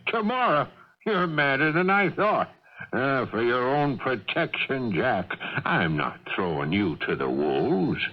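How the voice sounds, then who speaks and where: An actor in a radio drama playing a shrewd, gruff older company boss who blusters and gives orders with hesitant pauses. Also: male voice, medium pitch, very animated. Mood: mocking. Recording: muffled old radio broadcast.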